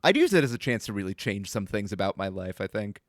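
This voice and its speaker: A man's voice: lowish voice, sing-song, fast, dry recording, clean studio sound. A man co-hosting a podcast in casual chat, playful and jokey, laughing often between lines. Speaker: podcast co-host.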